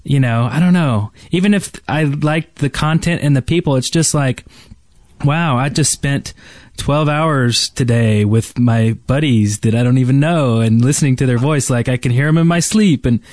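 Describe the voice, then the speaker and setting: A man's voice: mid-range voice, moderate pace, darkish tone, no echo. A man hosting a podcast, chatting casually. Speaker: podcast host.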